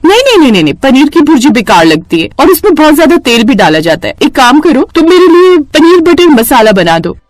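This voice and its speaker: A woman's voice: high-pitched, very animated, fairly quick, few pauses, no echo, soft background music, voice pushed up. A woman voicing a breezy, well-off young housewife in a cartoon story, chatty and bossy but friendly. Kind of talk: Hindi cartoon story dialogue.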